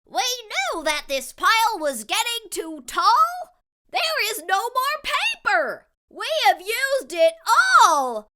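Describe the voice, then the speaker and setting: A woman's voice: very high-pitched, wildly swooping pitch, slow, bright, forceful tone, a little hiss or hum, voice pushed up, heavily acted. A woman voicing small, childlike paint scribbles in a storybook, wailing in distress. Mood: distraught.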